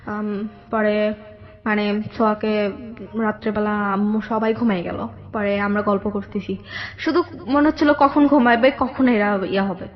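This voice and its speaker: A young woman with a Bangladeshi accent telling a personal story conversationally, haltingly, often circling back over details. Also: medium pitch, fairly even intonation, muffled recording. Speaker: guest telling her life story.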